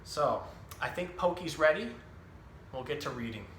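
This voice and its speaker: Bright-voiced man in an echoey room. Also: fairly high pitch, expressive, normal speed. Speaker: zookeeper and storytime host. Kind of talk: casual animal education talk and children's picture book reading. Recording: roomy echo with some background noise.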